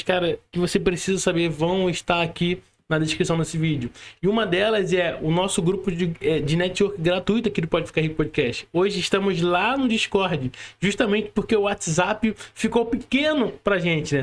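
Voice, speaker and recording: Brazilian guy hosting a podcast, pretty high voice, super informal chatty interviewer. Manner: informal, frequent tag questions. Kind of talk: casual interview chat with calls to subscribe. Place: remote video call.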